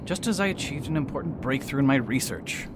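A man's voice: mid-range voice, animated, a little fast, background noise, natural voice. A man playing an impatient scholar. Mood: irritated. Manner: curt, impatient, hesitant when surprised. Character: brusque, self-important male scholar, annoyed. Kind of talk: amateur radio drama.

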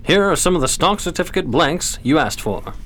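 An actor playing a suave, dapper 1920s gentleman gambler, polished and courteous, in a radio crime drama. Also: on the high side, sing-song, a little fast, a little hiss or hum. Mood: puzzled.

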